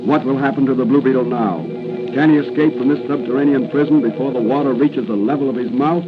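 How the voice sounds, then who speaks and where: A man's voice: mid-range voice, fast. A man narrating a serial as announcer in dramatic 1940s radio style. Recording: tinny 1940s radio broadcast. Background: music.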